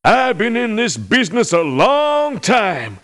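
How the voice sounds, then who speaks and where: A cantankerous old American soldier of fortune for a video game. His voice is high and slow, swooping in a folksy, scolding way at the young. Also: wildly swooping pitch, fairly bright tone, exaggerated voice. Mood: crotchety. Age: older. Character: crotchety old male veteran mercenary, scornful of youngsters. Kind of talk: video game character voice lines.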